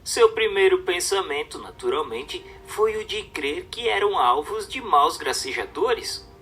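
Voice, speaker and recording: A man's voice: high-pitched, big pitch swings, unhurried, pushed and bright, soft background music. A man reading a religious book as dramatic scripted narration, in a solemn, emphatic, declamatory manner.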